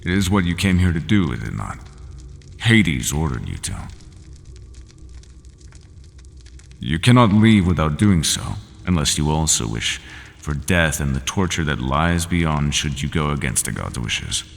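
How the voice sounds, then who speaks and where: A man voicing a resigned, half-human horned monster in an audio roleplay, speaking slowly and formally without contractions. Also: big pitch swings, full character voice. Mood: pleading. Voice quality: very deep and gravelly. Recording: long hall reverb, faint ambience.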